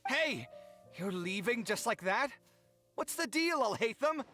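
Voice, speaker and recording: A man's voice: extremely high pitch, big pitch swings, measured pace, pushed and bright, soft background music, exaggerated voice. An actor playing a fussy, dramatic young man in a video game, flustered and exclaiming. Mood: indignant. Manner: agitated, exclaiming.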